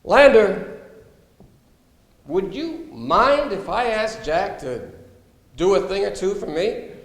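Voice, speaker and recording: A man's voice: high voice, sing-song, takes it slowly, exaggerated voice. A man playing a brazen, needy therapist in a comic stage play, speaking theatrically. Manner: theatrical, declamatory. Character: brazen, needy male therapist burdened by household woes. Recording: echoey hall, long reverb.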